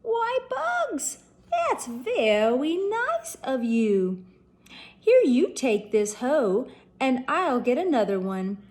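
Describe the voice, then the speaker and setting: A woman voicing a gullible male cartoon gardener, speaking high and fussy with lisped r's. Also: sing-song, slow, darkish tone, heavily acted.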